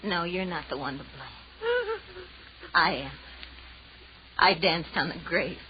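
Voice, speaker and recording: A woman's voice: lowish voice, wildly swooping pitch, slow pace, heavily acted. An actress playing a defiant, jittery young woman with emphatic, nervy delivery in an old-time radio horror drama. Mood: drained, remorseful. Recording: muffled, noisy old radio recording.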